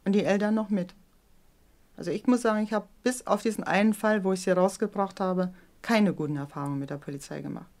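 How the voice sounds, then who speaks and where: A woman's voice: medium pitch, fast talker, a little mellow. A middle-aged woman giving personal testimony in a documentary interview, speaking in an emphatic, plain-spoken way. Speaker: interviewee, mother of a teenager.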